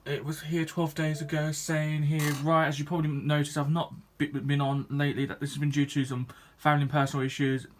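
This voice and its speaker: A man's voice: a little high, flat delivery, brisk, few pauses, a little hiss or hum. A 21-year-old man, a gaming YouTuber chatting to his viewers in a rambling, hesitant way, full of restarts and ums. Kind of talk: casual vlog chat to viewers.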